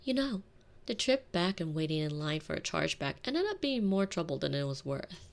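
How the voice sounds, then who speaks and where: Woman playing a low, creaky, sardonic retired villainess housewife. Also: sing-song, normal speed, heavily acted. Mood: wry, scheming. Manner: wry, teasing, theatrical phrasing. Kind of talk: scripted ASMR roleplay audio drama. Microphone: close binaural microphone.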